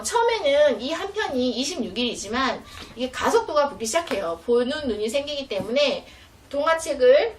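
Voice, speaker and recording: A woman instructor giving an animated, explanatory demonstration for parents, recorded indoors at some distance from the mic. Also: high voice. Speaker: children's education instructor. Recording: roomy, distant mic, faint noise.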